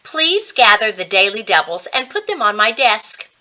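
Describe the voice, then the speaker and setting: Bright, firm schoolteacher, read by a woman. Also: medium pitch, very animated, normal speed. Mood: brisk, instructing. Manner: clear, instructing, classroom-commanding. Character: female classroom teacher, brisk, kindly but firm.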